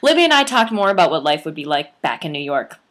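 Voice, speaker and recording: A young adult woman, the author, reading her memoir aloud with lively, playful storytelling and expressive emphasis. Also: low-pitched, fast.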